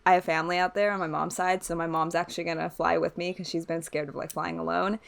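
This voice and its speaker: A woman's voice: fairly low pitch, little pitch movement, quick pace, creaky. A woman co-hosting a podcast in casual chat among friends, talking informally with plenty of "like". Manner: informal, uses "like" often. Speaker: podcast co-host.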